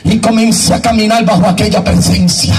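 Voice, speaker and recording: A man with a Caribbean Spanish accent preaching fervently, with shouted, drawn-out emphatic phrases and repeated refrains. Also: high-pitched, steady tone, recorded from a distance, soft background music. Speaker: evangelical preacher giving testimony. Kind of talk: fervent Pentecostal sermon with refrains.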